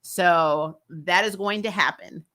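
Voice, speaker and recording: Female review-show host, bright forceful voice, casual live chat, exasperated and blunt, swears and laughs through rants. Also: fairly low pitch, slow.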